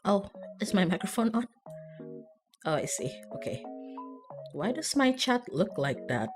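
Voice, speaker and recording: A woman's voice: medium pitch, sing-song, unhurried. A woman chatting casually as a livestreamer, bubbly and rambling in manner. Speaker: streamer and VTuber. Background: background music.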